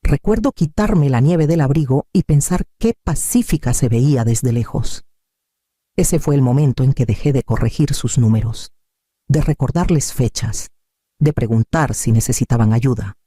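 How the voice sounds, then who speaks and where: Male story narrator, very mellow tone, deliberate scripted delivery, short phrases, dry studio-like sound. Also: medium pitch, husky, dry recording. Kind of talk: scripted dramatic narration, first-person story.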